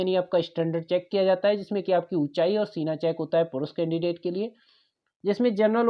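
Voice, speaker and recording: A woman giving an informal, instructive explainer, taking the listener through things step by step. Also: fairly low pitch. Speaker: presenter of exam and job information videos. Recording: dull lo-fi voice recording.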